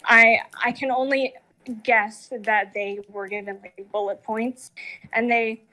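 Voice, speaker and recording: Bright, higher-pitched woman in casual discussion. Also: fairly even intonation, takes it slowly, low-quality recording.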